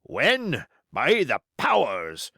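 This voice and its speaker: A man's voice: mid-range voice, huge pitch swings, drawn-out words, vocal fry, pushed and bright, husky, no room sound, clean studio sound, voice pushed up, exaggerated voice. A man voicing a sly, boastful, commanding pirate cook in an audiobook, with emphatic rustic seafaring talk. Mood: scornful, exasperated. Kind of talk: audiobook character voice.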